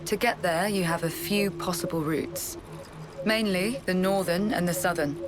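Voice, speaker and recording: An actor playing a calm, authoritative colony leader in a video game, speaking in a measured, formal and explanatory way. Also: male voice, high-pitched, crowd noise, close to an everyday voice. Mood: composed, instructive. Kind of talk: scripted video game cutscene acting.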